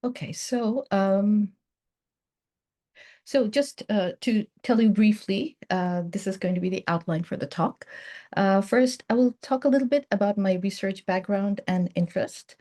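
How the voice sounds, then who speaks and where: Mellow-voiced woman presenting research. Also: medium pitch, measured pace. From Bangladesh. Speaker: guest research scientist giving a seminar. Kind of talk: academic presentation.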